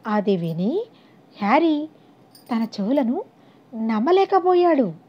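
A woman's voice: high-pitched, breathy edge. A woman narrating a scripted moral story as an audiobook narrator, on a dull, lo-fi recording.

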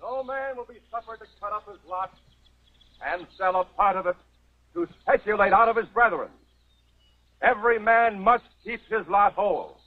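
An actor playing a stern pioneer church leader who lays out a city plan in a solemn, proclaiming way, on a dull old TV soundtrack. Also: male voice, high voice, moderate pace, husky. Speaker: church leader laying out a settlement. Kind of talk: 1950s TV western acting.

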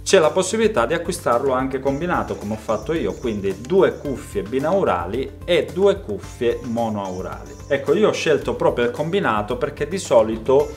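Lower-pitched man over background music. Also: sing-song, normal speed, few pauses. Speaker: video maker reviewing gear. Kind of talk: explanatory product review to camera. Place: small home studio room, indoors.

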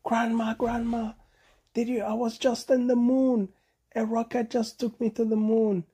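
Man voicing an excitable young monkey, pitched high. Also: measured pace, slightly rough, heavily acted. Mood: excited. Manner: breathless exclamations, repeating phrases in alarm. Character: excitable young monkey, curious, impulsive, easily panicked.

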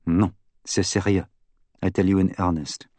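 A man performs a middle-aged male Belgian detective for an audiobook. He has a French-speaking Belgian accent and speaks with fussy, formal precision. He is earnest and gravely insistent, in a dry recording. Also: deep voice, flat delivery, moderate pace, mellow, dry recording, close to an everyday voice. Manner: precise, formal, emphatic phrasing. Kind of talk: audiobook character acting.

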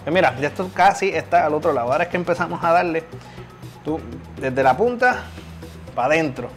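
Male cooking host, Puerto Rican accent, fairly high voice, casual and joking, clear music behind him. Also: animated, a little fast. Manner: joking, colloquial. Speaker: chef and cooking show host. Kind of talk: casual chat while demonstrating cooking. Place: home kitchen.

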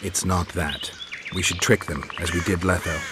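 An actor playing a terse, skeptical monster-hunting swordsman in a video game, gravelly and dry, speaking in clipped phrases. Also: sits low, fairly even intonation, moderate pace, heavy background noise, lightly acted. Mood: blunt. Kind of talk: game dialogue acting.

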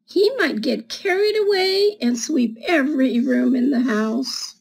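A woman in her seventies reading a children's picture book aloud in a deliberate manner, as a storyteller talking to young listeners. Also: high-pitched, expressive, husky, rough recording. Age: 70s. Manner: deliberate, explaining pictures with gentle questions to children. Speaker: read-aloud storyteller for schoolchildren. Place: small home room with bookshelves, indoors. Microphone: webcam at desk distance.

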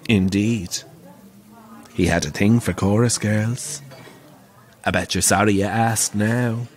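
An actor playing a camp, wry, middle-aged Irish pantomime dame who relishes telling grisly ghost stories in an audio drama. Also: male voice, fairly low pitch, fairly even intonation, slow. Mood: teasing, theatrical. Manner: theatrical, wry, relishing the tale.

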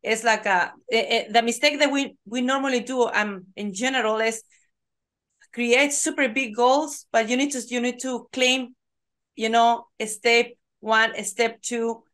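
Woman, host, video call, halting, repeats words, fairly high pitch. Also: fairly even intonation, a bit bright. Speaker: podcast host. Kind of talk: casual video-call interview.

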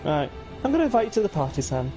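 A man's voice: fairly high pitch, sing-song, rapid-fire, warm tone. A young man playing a game with a friend, joking and self-mocking with some swearing. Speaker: co-op player. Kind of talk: casual gaming chat. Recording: game music playing under the voice.

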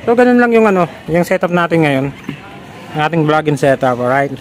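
A man's voice: a little high, lively intonation, fairly quick, a little hiss or hum. A young man vlogging casually about his motorcycle helmet camera setup, with chatty repeated fillers, recorded on a helmet-mounted camera mic outdoors. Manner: chatty, addresses viewers as "lods", repeats fillers. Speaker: motovlogger. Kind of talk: casual how-to vlog. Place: outdoors at home, then riding a motorcycle. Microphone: helmet-mounted action camera mic.

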